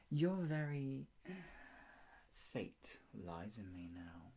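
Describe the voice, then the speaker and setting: A performer playing a sadistic, obsessive yandere captor in an improvised ASMR roleplay, creaky, taunting and drawn out, slipping between talk and whispers. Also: mid-range voice, big pitch swings, dark tone, exaggerated voice. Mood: smug, threatening. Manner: taunting, drawn-out delivery with frequent giggles and whispers.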